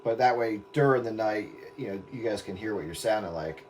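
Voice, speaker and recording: Low-voiced man chatting casually as host. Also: brisk, very noisy. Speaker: host of an online radio round-up night. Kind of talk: casual chat.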